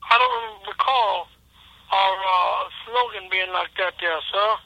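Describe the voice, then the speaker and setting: A man voicing a flustered, deferential elderly Texas oilman with a slipping put-on Texas accent, halting and stammering, over a telephone. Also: high-pitched, creaky, no room sound, lo-fi sound, exaggerated voice. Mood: flustered, evasive. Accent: put-on Texas accent that slips. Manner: halting, stammering, repetitive, says sir often.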